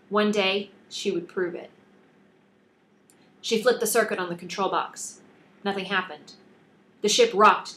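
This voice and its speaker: A woman's voice: medium pitch, a bit bright, poor audio. A woman author reading scripted narration from her own novel, audiobook style.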